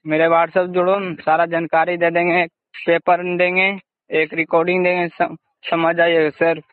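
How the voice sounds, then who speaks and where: A man working as a recruiter, making an unscripted pitch over the phone. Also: monotone, moderate pace, no echo. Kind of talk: unscripted sales phone call. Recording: tinny, narrowband phone line.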